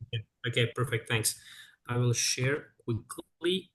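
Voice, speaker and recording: A man in his thirties from Serbia, speaking English, giving a halting presentation over a video call with frequent filler sounds. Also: mid-range voice, a bit bright. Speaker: guest speaker, researcher. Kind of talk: presentation in an online seminar.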